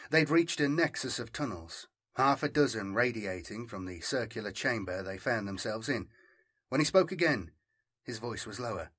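A man's voice: on the low side, expressive, moderate pace, fairly bright tone, breathy edge. A man reading scripted dramatic fiction as an audiobook narrator.

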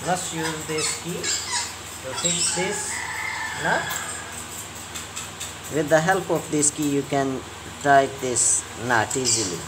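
Slow, low-voiced woman over loud noise. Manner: halting, short simple phrases. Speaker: repair tutorial presenter. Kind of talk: step-by-step hands-on instruction. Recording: loud background noise, bird and animal sounds.

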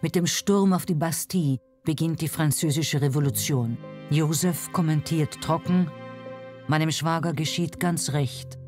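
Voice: mid-range voice, fairly even intonation, unhurried, darkish tone. A documentary narrator reading a scripted history narration. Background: music.

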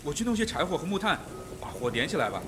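A man's voice: fairly high pitch, sing-song, quick pace, loud music behind the voice. A man playing a deferential, uneasy butler in a Mandarin radio drama, hesitant, with stormy rain behind him.

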